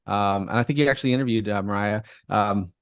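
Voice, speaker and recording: A man with a fairly low voice talks in a relaxed, rambling way, full of fillers and restarts. The recording is dry and close, with no room sound. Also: fast talker, clean tone, dry recording. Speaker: guest, affiliate marketer. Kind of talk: casual interview talk.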